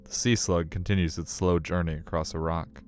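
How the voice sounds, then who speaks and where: Male story narrator, low register, dramatic and suspenseful read over background music. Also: fairly even intonation, normal speed, darkish tone. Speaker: streamer reading a visual novel aloud.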